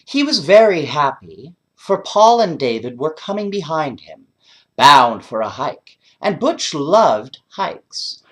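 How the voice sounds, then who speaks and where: A man reading a bedtime story aloud and chatting to young listeners, expressive and often putting questions directly to them. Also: on the high side, slow pace. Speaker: children's storyteller.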